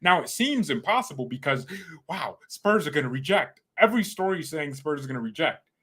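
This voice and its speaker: A man hosting a fan channel, chatting casually with his live chat, rambling and joking with sudden loud outbursts. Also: on the high side, big pitch swings, a little fast, breathy edge. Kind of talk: casual livestream chat with viewers.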